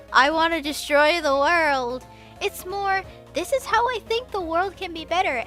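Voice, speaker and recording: A young woman reading a scripted movie review as a voice-over, enthusiastic and expressive with casual slang. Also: sits high.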